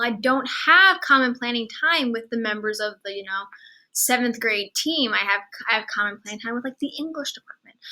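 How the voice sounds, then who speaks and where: A young woman, founder of an educators' group, explaining in earnest, steady conversation. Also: fairly high pitch, poor audio.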